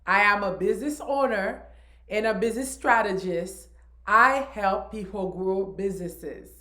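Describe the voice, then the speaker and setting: Haitian-born woman, business coach, unhurried teaching delivery, medium pitch. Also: pushed and bright. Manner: slow, deliberate, encouraging. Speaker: business strategist. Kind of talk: course overview presentation.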